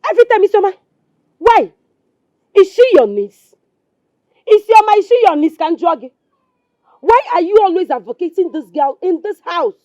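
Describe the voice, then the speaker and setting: High-voiced, scolding Nigerian wife. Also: female voice, lively intonation, fairly quick, few pauses, darkish tone, no echo, dull audio. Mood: indignant. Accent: Nigerian Igbo-English. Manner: scolding, repeats phrases insistently. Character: quarrelsome, spiteful wife and bullying village woman. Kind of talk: Nollywood film drama acting.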